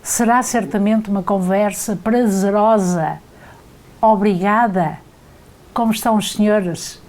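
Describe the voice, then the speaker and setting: A woman hosting an interview, speaking politely and formally, with some halting restarts. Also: medium pitch, darkish tone. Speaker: host.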